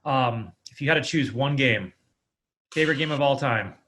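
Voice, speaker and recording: A man's voice: medium pitch, moderate pace, a bit bright, slight background noise. A man hosting a casual interview podcast, asking questions in an eager, affirming way.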